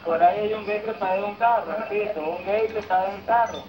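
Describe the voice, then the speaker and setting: A high-pitched man calls out gameplay like a mock police dispatcher, repeating each line for comic effect. He is chatting with friends during an online game. Steady background noise runs under his voice. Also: fast. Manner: mock police-radio announcements, repeating lines. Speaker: gamer. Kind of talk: casual gaming chat with friends. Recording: noisy gameplay recording.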